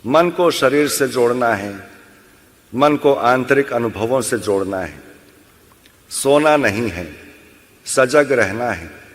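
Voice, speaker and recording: A man leading a guided yoga nidra session as an instructor, giving measured instructions with long pauses. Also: mid-range voice, lively intonation. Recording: long hall-like reverb.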